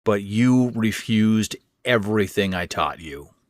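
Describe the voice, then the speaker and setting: A man voicing a frail, scornful old stepfather in a horror audio story, with a hoarse, rasping voice and a bitter, forceful manner. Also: fairly low pitch, animated, slow, dry recording, studio quality.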